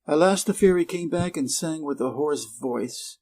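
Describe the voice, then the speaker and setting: A man from Wisconsin with an American accent, reading a fairy tale aloud slowly and clearly for English learners. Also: a little high, darkish tone. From Wisconsin, USA. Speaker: reader. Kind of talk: storybook reading.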